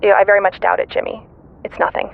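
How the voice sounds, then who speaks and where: A woman's voice: mid-range voice, little pitch movement, fast, vocal fry. A woman playing a slighted, defensive radio co-host, hesitant and mildly indignant, calling in over a tinny phone line. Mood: uneasy, defensive.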